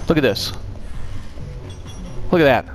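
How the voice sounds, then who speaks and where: A man playing an excitable, boastful young cousin who gushes in slang in a game roleplay. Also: mid-range voice, a little slow, faint music behind, close to an everyday voice. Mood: excited. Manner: gushing, slangy.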